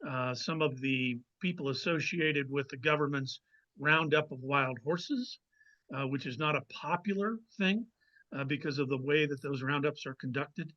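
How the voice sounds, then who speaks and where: Guy author being interviewed, really bright crisp-edged voice, explains stuff with pauses, bit lo-fi sound. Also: a little high. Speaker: guest novelist.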